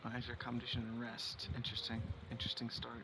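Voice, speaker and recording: A man's voice: mid-range voice, monotone, rapid-fire, noisy background. A man giving casual gameplay commentary, thinking aloud as he plays. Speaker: game streamer. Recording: game ambience behind the voice.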